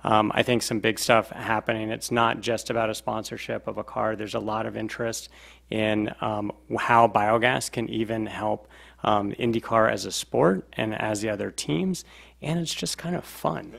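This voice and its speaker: A man's voice: lowish voice, steady tone, normal speed, creaky, a bit bright. A man on a briefing panel giving upbeat, informal remarks.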